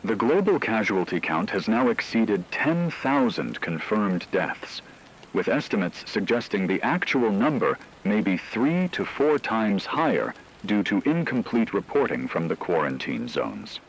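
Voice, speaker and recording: A man plays a grave radio news anchor with a deep voice. He reads scripted emergency bulletins in a measured, clinical way. Faint noise sits under his words, as in an apocalypse radio drama. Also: sing-song, poor audio.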